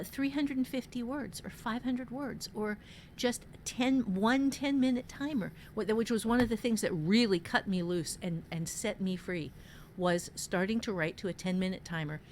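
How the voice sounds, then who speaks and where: A woman's voice: mid-range voice, normal speed, a little hiss or hum. A woman giving casual, conversational writing advice as a podcast host, emphatic and repeating words for stress.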